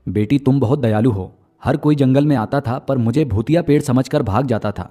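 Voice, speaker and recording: A man voicing a gentle, fatherly talking magical tree in a children's story. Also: medium pitch, moderate pace, close to an everyday voice. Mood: gentle, reassuring. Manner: reassuring, fatherly address. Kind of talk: children's moral story acting.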